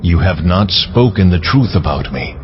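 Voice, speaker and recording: An actor playing God as a stern, majestic deity in a dramatized scripture reading, grand and solemn in delivery. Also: male voice, sits low, very animated, normal speed, a little hiss or hum. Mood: angry, commanding. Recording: muffled audio under a music bed.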